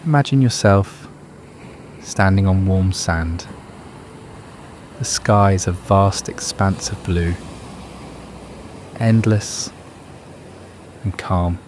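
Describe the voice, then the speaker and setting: A man narrating a guided meditation in a soothing, unhurried, measured manner. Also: low voice, a little mellow, a little hiss or hum.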